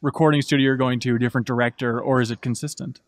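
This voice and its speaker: A man's voice: medium pitch, fairly even intonation, very fast, dry recording. A man in his thirties interviewing a guest casually as a podcast host, close to a studio microphone. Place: indoor podcast studio with brick wall and shelves. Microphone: desk microphone up close.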